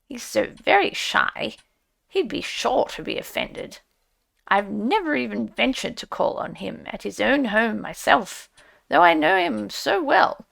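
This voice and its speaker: A woman's voice: a little high, big pitch swings, a little slow, creaky, a bit bright, exaggerated voice. A woman voicing a friendly but anxious male water rat in a storybook, emphatic and evasive. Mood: alarmed.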